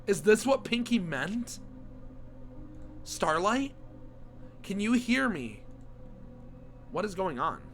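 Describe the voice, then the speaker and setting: A man with a hoarse voice from a cold, reading aloud the lines of a studious, caring young female pony in a comic. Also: sits high, big pitch swings, slow, pauses often, faint music behind, full character voice. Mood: worried.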